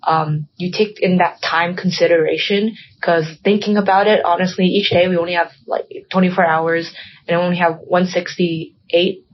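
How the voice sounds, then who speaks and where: A woman's voice: fairly low pitch, steady tone, moderate pace, dull audio. A young woman guest in a casual podcast chat, rambling and filling her talk with "like" and "um". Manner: rambling, heavy use of "like" and "um".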